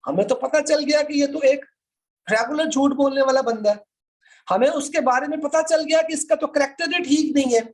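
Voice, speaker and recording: A man's voice: very high-pitched, normal speed. A man with a Pakistani accent lecturing students online, explaining didactically, repeating points for emphasis and mixing in English terms. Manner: mixes English terms into speech. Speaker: law lecturer.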